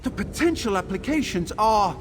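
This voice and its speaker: An actor playing a fussy, curious and anxious scholarly tinkerer in a video game, speaking in a formal, exclamatory way. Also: male voice, sits high, animated, moderate pace, a little hiss or hum. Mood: fascinated, explaining. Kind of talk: video game character dialogue.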